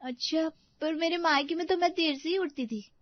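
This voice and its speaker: A woman's voice: extremely high pitch, moderate pace, pitched above the performer's own voice. A woman voicing a childlike, oversensitive young newlywed bride in a whiny, pouting story voice. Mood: pouty. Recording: slightly dull, dry recording.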